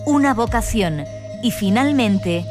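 A woman reading a scripted report in a measured, dramatic narration style. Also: a little high, lively intonation, over music.

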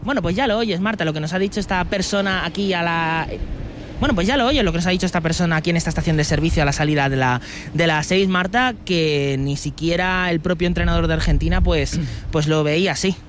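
A man's voice: on the high side, fast talker, a bit bright. Male street reporter, Spain accent, friendly informal patter, light outdoor vehicle noise. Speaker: roving reporter. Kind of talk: live vox pop reporting. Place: outdoors at a petrol station.

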